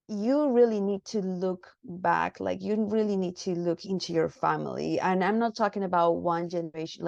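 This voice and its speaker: A woman from Peru, chatting as an interview guest, lively and full of fillers and restarts. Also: on the low side, creaky, dry recording, highs cut off. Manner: animated, many fillers and restarts. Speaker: guest filmmaker. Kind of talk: casual interview chat.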